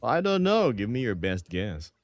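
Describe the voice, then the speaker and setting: A man's voice: mid-range voice, sing-song, normal speed, no echo, faint music behind. A man in his thirties streaming from home, chatting casually and reading chat messages aloud with frequent laughs.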